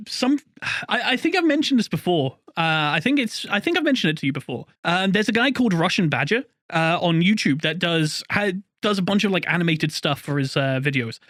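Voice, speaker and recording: A man's voice: sits high, lively intonation, fairly quick, fairly bright tone, no echo, studio quality. A young man from the UK with a slightly posh British accent, co-hosting a podcast in rambling casual chat, laughing often. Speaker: podcast co-host.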